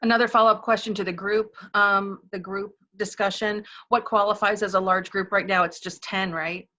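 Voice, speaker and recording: A woman with a bright, crisp-edged voice moderates a conference call. She passes along questions and comments in an informal way. The sound is lo-fi and muffled, as over a call line. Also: medium pitch, fairly even intonation, normal speed. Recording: dull, lo-fi conference call audio.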